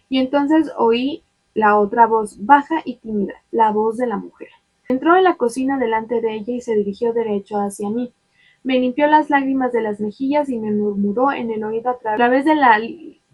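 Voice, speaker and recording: A woman reading a novel aloud in an expressive way, sometimes stumbling and restarting words, with chatty asides to her viewers. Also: fairly high pitch, rough recording.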